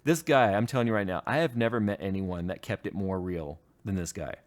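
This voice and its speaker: A man's voice: fairly low pitch, a little fast. A man hosting a casual stream, telling comic stories with some swearing and acted-out dialogue. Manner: animated, profane, acts out dialogue. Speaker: tech YouTuber, stream host. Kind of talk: casual chat, comic storytelling.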